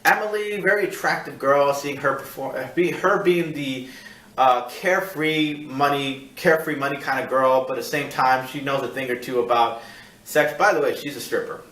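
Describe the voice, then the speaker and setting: A man's voice: mid-range voice, fairly bright tone. Man in echoey room, rambling film review. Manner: rambling, repeats himself, halting search for words. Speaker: film review show host. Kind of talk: casual film review monologue. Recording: echoey room, distant mic.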